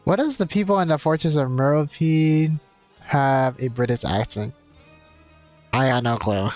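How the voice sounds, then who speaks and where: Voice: medium pitch, measured pace, darkish tone, faint music behind. A game streamer giving casual live commentary, musing and asking questions aloud over the game.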